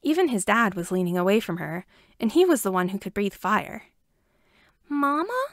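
A woman's voice: medium pitch, animated, fairly quick, no room sound, clean studio sound. A woman narrating a scripted story as an amateur audiobook reader.

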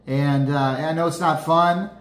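A man in his forties reads scripture and preaches in an earnest, measured way to a webcam. His voice sits a little high for a man. The small room around him leaves a noticeable echo. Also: steady tone. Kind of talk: sermon with scripture reading.

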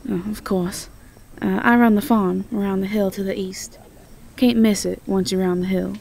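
A woman's voice: medium pitch, steady tone, moderate pace, creaky, slight background noise, lightly acted, poor audio. A woman playing a sweet, folksy Southern farm belle with a Southern US accent in an audio roleplay. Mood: sweet, friendly. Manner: folksy, gentle, hesitant. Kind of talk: fantasy audio roleplay acting.

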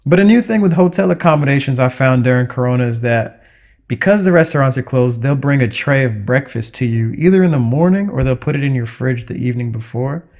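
A man's voice: mid-range voice, expressive, brisk, a little mellow. A young adult man, a travel vlogger, narrating casually in an upbeat, conversational way. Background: music.

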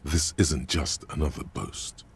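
A man voicing a grave, brooding narrator in a game, reading in a measured, literary way. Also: a little hiss or hum, heavily acted. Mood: grave, watchful. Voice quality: very deep, gravelly. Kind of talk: scripted second-person game narration.

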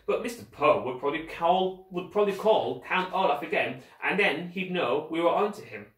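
A man's voice: on the high side, animated, moderate pace, reverberant, recorded from a distance, pitched above the performer's own voice, close to an everyday voice, low-quality recording. Man reading as a hesitant, bookish young boy. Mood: worried. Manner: hesitant, thoughtful.

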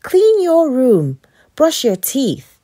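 A woman's voice: sits high, wildly swooping pitch, very slow, mellow, voice pushed up, exaggerated voice. A woman voicing a bossy, exasperated mother who nags and commands in a children's story. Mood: bossy nagging. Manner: commanding, nagging.

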